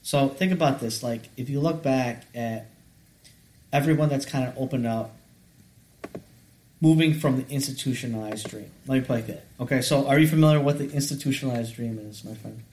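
A man in his late thirties with a mid-pitched voice lays out his ideas to a host. He talks earnestly in a casual chat and keeps checking that he is followed. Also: normal speed, a little mellow, a little hiss or hum. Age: 30s. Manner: explaining earnestly, checking in with "right?" and "okay". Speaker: podcast guest.

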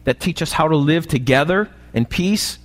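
A male pastor with an American accent and a slightly raspy voice, preaching a sermon and often checking in with short questions to the congregation. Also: on the high side, lively intonation, normal speed. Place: church, preaching live. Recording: live church recording, long hall reverb.

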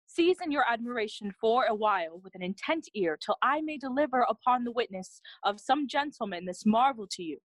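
A woman's voice: a little high, lively intonation, brisk, hardly any pauses, dry recording, low-quality recording. A woman playing a loyal, earnest young friend of a prince in a bilingual Shakespearean audio play, speaking formally. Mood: grave, awed. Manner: earnest, formal, clearly declaimed.

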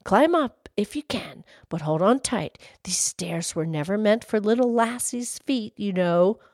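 A woman voicing a big, kindly talking fish, gurgling and measured, in a storybook tale. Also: mid-range voice, expressive, darkish tone, no room sound, clean studio sound, pitched below the performer's own voice. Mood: cautioning.

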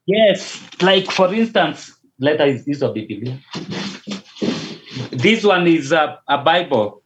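A Kenyan pastor talks in a slow, deliberate way, often pausing and restarting. The sound is dull and lo-fi. It comes over a video call. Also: male voice, a little high, big pitch swings, a little hiss or hum. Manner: slow, measured, with hesitations and restarts. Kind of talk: casual video call interview.

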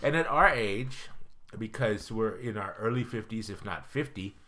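A man's voice: fairly low pitch, normal speed, pushed and bright. Joking man in his fifties. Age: 50s. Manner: joking, laughs often, rambling with fillers. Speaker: podcast co-host. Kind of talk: casual chat.

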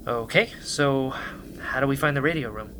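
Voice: medium pitch, normal speed, fairly bright tone, a little hiss or hum, lightly acted. An actor playing a nervous, inquisitive explorer in a sci-fi radio drama, with a hesitant, questioning manner. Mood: uneasy, hesitant. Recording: music behind the voice.

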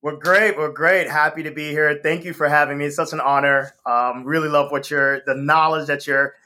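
An energetic man speaking as a guest on an interview show, with a somewhat high, bright and forceful voice. He talks in a loose, upbeat way, laughing often and filling pauses with ums. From Northeast US. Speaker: guest, company co-founder. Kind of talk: casual interview chat.

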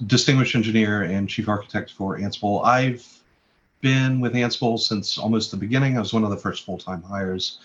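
A man with a very deep voice speaks plainly and matter-of-factly as an interview guest. His audio sounds slightly dull and lo-fi, as if it came from a modest remote mic. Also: fairly bright tone. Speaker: guest, chief architect. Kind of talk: conversational interview.

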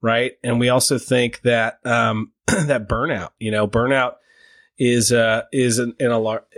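A man hosting a podcast interview, halting and restarting his sentences as he asks questions. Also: mid-range voice, steady tone, fairly bright tone, no echo. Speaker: podcast host.

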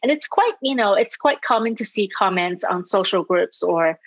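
A woman from Hong Kong, a guest educator, speaking in a measured, thoughtful way in an interview. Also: mid-range voice, expressive, no room sound. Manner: measured, thoughtful, light laughs. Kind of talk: interview conversation. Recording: dull muffled sound, dry.

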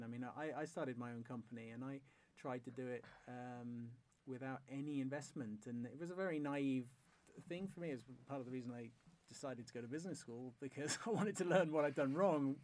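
A man's voice: medium pitch, a little fast, darkish tone. A man hosting a podcast interview, thinking aloud with frequent restarts and hedging. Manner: thoughtful, hesitant, frequent restarts. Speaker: podcast host. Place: Norway.

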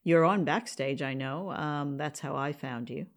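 A woman's voice: low voice, unhurried. A woman hosting a podcast, speaking in an interview conversation. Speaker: podcast host and producer.

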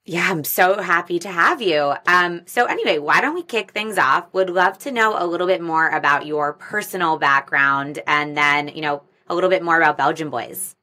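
Low-voiced woman podcast host. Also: bright, forceful tone. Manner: upbeat, rapid scripted intro then conversational questions. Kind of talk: interview podcast.

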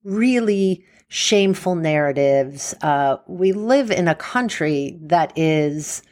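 A woman hosts a solo podcast in a soothing, slightly low voice. She speaks slowly and conversationally, explaining things in an informal, unhurried way. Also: lively intonation, darkish tone. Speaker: doctor hosting a solo podcast.

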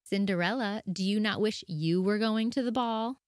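Guy doing snooty mean big stepsister, higher and quieter than his own voice, dry close sound. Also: normal speed, no echo, close to an everyday voice. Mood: mocking. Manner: haughty and sharp-tongued. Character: proud, spiteful young woman, elder stepsister.

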